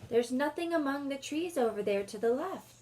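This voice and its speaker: A woman voicing a cautious, sensible older girl in a storybook reading, speaking in urgent, hushed warnings. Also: high-pitched, lively intonation, normal speed, voice pushed up, rough recording. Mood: uneasy.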